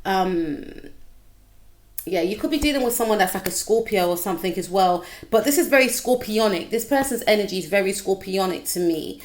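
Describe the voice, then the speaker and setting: A woman with a slightly croaky voice giving a chatty tarot reading straight to her viewers, often checking in with them. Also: mid-range voice, expressive, normal speed. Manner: chatty, repetitive, addresses viewer directly. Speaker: tarot reader. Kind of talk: casual tarot reading to camera.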